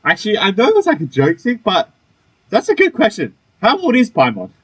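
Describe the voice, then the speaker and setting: A man's voice: high-pitched, wildly swooping pitch, a little fast, a bit bright, husky, rough recording. A man giving casual, offhand gameplay commentary as he plays. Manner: informal, offhand reactions. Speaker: gaming video host.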